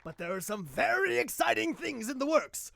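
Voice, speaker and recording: A man playing a vain, excitable supervillain in a comedic audio drama, boastful, theatrical and over-eager. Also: extremely high pitch, normal speed, creaky, a little hiss or hum, full character voice. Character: excitable, vain, self-styled male cartoon supervillain.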